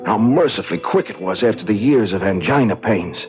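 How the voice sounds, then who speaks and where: A man's voice: on the low side, lively intonation, brisk, close to an everyday voice. An actor playing an earnest, brash young working-class student who tells his story colloquially in a 1970s radio drama. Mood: wistful narrating. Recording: dull old radio broadcast, music behind.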